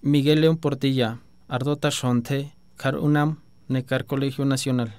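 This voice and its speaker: A man reading an opening message aloud in a measured, recited cadence. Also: mid-range voice, fairly even intonation, darkish tone, clear, resonant tone, no echo. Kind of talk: formal reading in Otomi.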